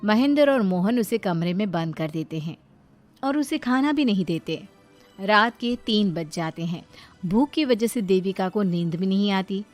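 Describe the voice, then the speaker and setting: A woman's voice: mid-range voice, animated, moderate pace, soft background music. A woman narrator reading a scripted moral story in a dramatic storytelling style.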